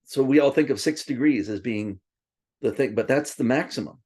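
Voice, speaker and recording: A man's voice: medium pitch, normal speed, darkish tone, husky. A man being interviewed, reminiscing casually and rambling with frequent restarts and asides, who grew up speaking Swedish first. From born in Princeton, raised partly in Tokyo.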